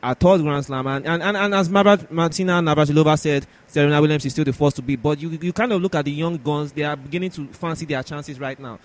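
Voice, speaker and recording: A man's voice: a little high, fast, hardly any pauses. A man hosting a sports podcast in a conversational way, often repeating and rephrasing as he discusses and poses questions.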